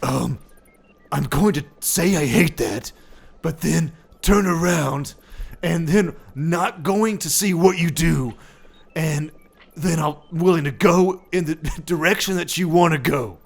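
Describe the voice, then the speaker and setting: A man's voice: on the high side, slow, exaggerated voice. A man voicing a crude, irritable Batman in an improvised comedy sketch, blunt and sarcastic, swearing freely. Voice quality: gravelly, husky.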